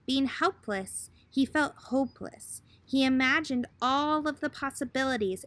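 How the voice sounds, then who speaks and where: Woman storyteller, fairly high voice, expressive picture-book read-aloud for young listeners, dramatic pauses. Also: dry recording.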